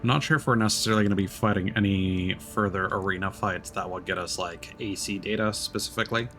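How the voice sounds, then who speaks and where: A man's voice: low voice, steady tone, fast, fairly bright tone, soft background music. A man doing casual live game-stream commentary, thinking aloud in a rambling way.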